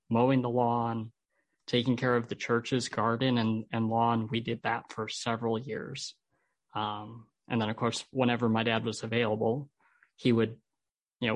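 A man's voice: mid-range voice, dry recording, poor audio. A man with an American accent, a podcast guest telling his personal story in an interview. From Holland, United States.

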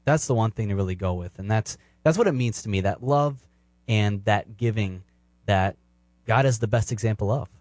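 Man, somewhat low pitch, dry sound, earnest, unscripted, podcast co-host. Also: moderate pace, a little mellow, no room sound.

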